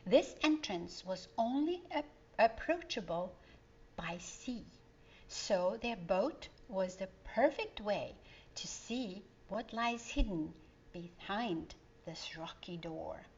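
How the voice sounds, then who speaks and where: A woman reading a children's story aloud in a gentle, expressive way. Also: medium pitch, takes it slowly, husky. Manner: gentle, expressive, sing-song. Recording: dull lo-fi recording.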